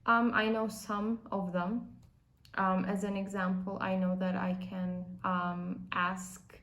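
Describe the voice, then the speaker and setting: A woman's voice: mid-range voice, flat delivery, slow, a bit bright. A woman in her twenties from Turkey answering interview questions casually, thinking between phrases. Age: 20s. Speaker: student interviewee.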